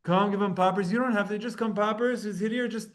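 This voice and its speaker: Animated high-voiced man teaching Talmud. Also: brisk. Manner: animated, acts out quotes with sudden jumps in pitch and loudness; mixes Hebrew and Aramaic terms into casual English. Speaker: Torah teacher. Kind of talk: lively Talmud class lecture.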